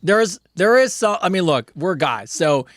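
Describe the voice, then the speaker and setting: A man's voice: sits high, lively intonation, moderate pace, dry recording. A middle-aged man co-hosting a casual comedy podcast, telling loose rambling stories and reading out listener questions, with plenty of restarts and swearing.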